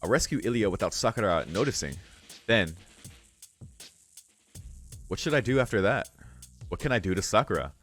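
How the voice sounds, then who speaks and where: Deep voiced guy doing a let's read, narrating then musing casually, bit of music behind. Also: animated, moderate pace, long pauses, fairly bright tone. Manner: informal, musing asides. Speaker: let's play host. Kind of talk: reading a visual novel aloud with casual commentary.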